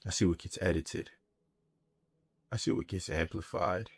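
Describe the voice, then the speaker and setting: A man with a low, deep voice performs a spoken-word monologue. He speaks deliberately and reflectively, setting out short, weighted phrases. The sound is dry, with almost no room around the voice. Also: breathy edge, no echo.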